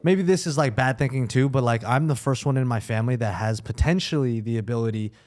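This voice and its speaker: A man's voice: medium pitch, fast, darkish tone. A man chatting casually about personal matters, thinking aloud in informal language and correcting himself as he goes.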